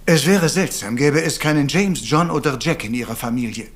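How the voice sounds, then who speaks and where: Actor as shrewd, courteous detective, higher-pitched. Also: male voice, lightly acted. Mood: calm, reasoning. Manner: measured, sprinkles French phrases. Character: courteous, shrewd Belgian male detective, middle-aged. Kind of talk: German crime radio play acting.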